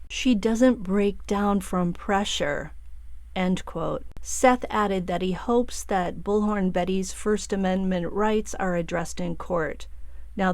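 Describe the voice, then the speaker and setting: A woman presents true-crime news commentary in a mid-pitched voice with a close, dry sound. She reads from a script and quoted statements, breaking off to give her own opinionated asides straight to the listeners. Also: vocal fry, a little mellow, no echo. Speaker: true-crime channel host.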